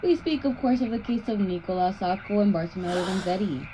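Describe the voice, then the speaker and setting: A woman's voice: medium pitch, expressive, brisk, noisy background. A young woman hosting a scripted 1920s-style radio news bulletin, with a formal, theatrical announcer delivery. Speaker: radio show host and news reader. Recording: muffled lo-fi audio with music behind. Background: music.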